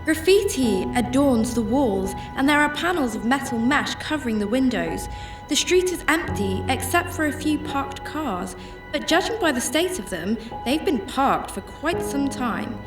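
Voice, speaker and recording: A woman's voice: high-pitched, sing-song, measured pace. A woman playing an anxious, tender heroine who narrates her own story in a radio drama with earnest, descriptive delivery. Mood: tense. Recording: echoey, distant-sounding with music bed.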